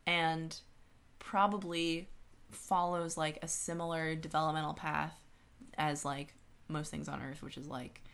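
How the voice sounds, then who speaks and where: A woman's voice: sits low, little pitch movement, unhurried, gravelly, fairly bright tone. A woman co-hosting a podcast in a casual, science-minded discussion, reasoning aloud with questions and checking for agreement. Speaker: podcast co-host.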